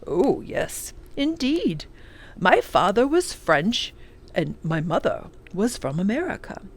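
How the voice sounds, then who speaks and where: Woman reading as an animated, kindly old professor. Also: medium pitch, slow. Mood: matter-of-fact. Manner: enthusiastic, exclaiming, savours long words. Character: kindly, learned, playful elderly male professor. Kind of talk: children's storybook character reading.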